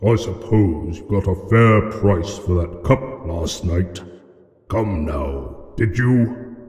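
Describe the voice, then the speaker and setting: A man's voice: sits low, mellow. A man playing a huge old male dragon, menacing, boastful and cunning, with grandiose, taunting theatrical delivery in a fantasy audio drama. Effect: added cavernous reverb. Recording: cavernous echo and long reverb effect.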